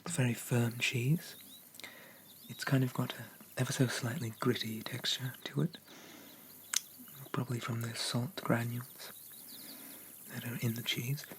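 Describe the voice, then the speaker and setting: A haughty young demon prince , acted out by a man, speaks in a medium-pitched, unhurried voice that slips into whispers. He is formal, condescending and quietly possessive, in the style of an ASMR roleplay. Faint ambient noise sits behind him. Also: level tone, natural voice. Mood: suave, indulgent. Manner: pompous, formal, softly condescending.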